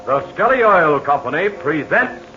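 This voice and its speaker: A man announcing a 1940s radio serial, lively and salesmanlike, on a dull old transcription. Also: fairly high pitch, unhurried, over music.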